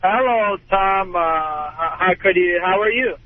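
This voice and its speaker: A man calling a radio show, talking casually in a halting, stammering way. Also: high voice, no echo. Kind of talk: casual phone chat. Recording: tinny narrow phone line.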